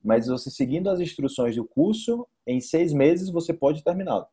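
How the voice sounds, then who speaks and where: A man's voice: a little high, animated, normal speed, dark tone, a little hiss or hum. A young man with a Brazilian accent tutoring informally over a video call, explaining and repeating points for emphasis. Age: young adult. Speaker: Portuguese teacher. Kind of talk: informal one-to-one online tutoring. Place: indoor room, video call.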